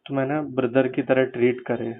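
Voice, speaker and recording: A man's voice: medium pitch, little pitch movement, brisk, close to an everyday voice. A man playing a lovelorn young adult, confiding in a casual, hesitant, rambling way over a phone line. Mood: frustrated. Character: sulky lovelorn young man confiding about his breakup. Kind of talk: casual phone chat, staged call. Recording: narrow, tinny phone-line sound.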